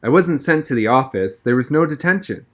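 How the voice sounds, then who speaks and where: A man's voice: medium pitch, animated, brisk. A man reading a children's novel aloud as a first-person audiobook narrator.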